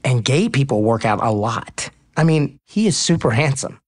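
Cocky, laid-back athlete, lively intonation. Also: male voice, mid-range voice, normal speed. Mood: teasing, unbothered. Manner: teasing, cocky, casual. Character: cocky, laid-back, teasing male pro baseball player. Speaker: actor in a romantic comedy audio drama. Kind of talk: romantic comedy audio drama acting.

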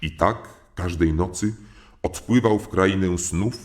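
A man's voice: low-pitched, a little slow, slight background noise. A man narrating a comic audio fairy tale as a formal, courteous storyteller. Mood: measured. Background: music.

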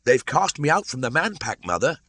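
A bold young jungle boy of a storybook, acted by a grown man. He is commanding and scornful. The voice is pitched up and thrown out loud, like someone used to calling wolves. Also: sing-song, a little fast, dry recording, heavily acted. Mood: triumphant calling. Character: Mowgli, bold defiant boy raised by wolves.

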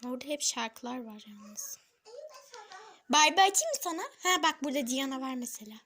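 A woman's voice: high voice, big pitch swings, fairly quick, fairly bright tone, slight background noise. A woman chatting casually in a home vlog, turning between her viewers and a small child. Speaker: family vlogger filming a young child. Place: at home, in front of a television. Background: music.

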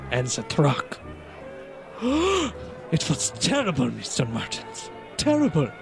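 A man's voice: a little high, huge pitch swings, slow pace, vocal fry, music in the background, exaggerated voice. An actor playing an evasive friend of a dead man in a radio drama, speaking dramatically and emphatically. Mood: distressed, defensive. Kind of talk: old-time radio drama acting.

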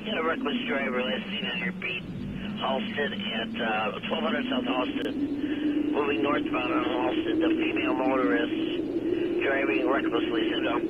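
A man's voice: medium pitch, steady tone, a little slow. A man working as a police dispatcher, speaking over the radio. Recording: noisy muffled police radio broadcast.

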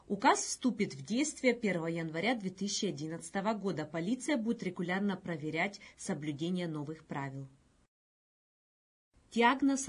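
A middle-aged woman presenting scripted news in a studio, with a slightly husky voice. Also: medium pitch. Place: indoor video news studio.

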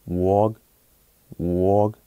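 Man as storybook frog, low, slow, croaking, mellow. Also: level tone, no echo, muffled recording.

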